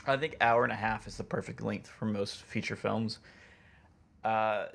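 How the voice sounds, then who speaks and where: Low, gravelly-voiced man in casual discussion. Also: fairly even intonation, moderate pace, a bit bright. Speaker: podcast co-host. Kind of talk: casual chat.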